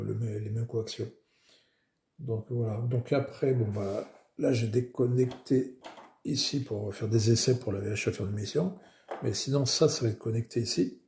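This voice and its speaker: A hoarse man casually showing his homemade radio modules, talking with many fillers and restarts. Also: mid-range voice, darkish tone. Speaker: amateur radio builder. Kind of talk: casual technical show-and-tell.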